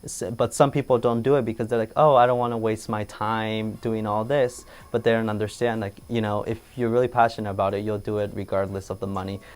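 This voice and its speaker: A man's voice: fairly low pitch, flat delivery, brisk, hardly any pauses, smooth. A young man giving casual interview answers in a rambling, repetitive way. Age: young adult.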